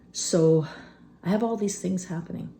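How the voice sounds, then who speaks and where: A woman in her fifties talking candidly and unscripted in a vlog, rambling with many fillers and a phlegmy throat she keeps clearing. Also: lowish voice, slow pace, warm tone. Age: 50s. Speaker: vlogger. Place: rural homestead.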